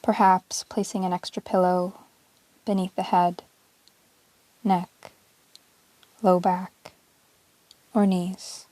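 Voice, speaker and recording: Slow, soothing woman guiding meditation. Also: lowish voice, dry recording, poor audio. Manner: calm, soothing, measured with long pauses. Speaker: yoga teacher guiding a meditation. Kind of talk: guided yoga nidra meditation, relaxation narration.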